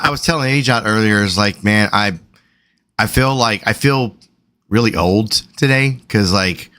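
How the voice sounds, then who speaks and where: A man's voice: on the low side, fairly bright tone. A man co-hosting a livestream in casual chat. He is losing his voice and talks in a rambling, profane, self-deprecating way. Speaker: livestream co-host.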